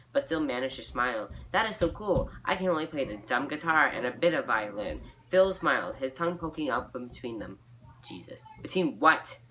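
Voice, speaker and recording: A woman's voice: a bass voice, pushed and bright, a little hiss or hum. A young woman reading her fan fiction aloud informally, breaking off for giggly, self-mocking asides.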